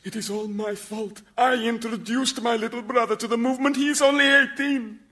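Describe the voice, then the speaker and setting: An actor playing an earnest, gullible young would-be martyr in a radio comedy, plaintive and hesitant. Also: male voice, very high-pitched, fairly even intonation, fairly quick, pushed and bright. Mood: tearful pleading. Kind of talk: radio sitcom acting.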